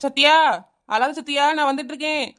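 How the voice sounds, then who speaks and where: A woman playing a brave young village aunt who talks quickly and reassuringly in an amateur audio drama. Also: high voice, steady tone, bright, forceful tone. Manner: reassuring, brisk, fast-talking.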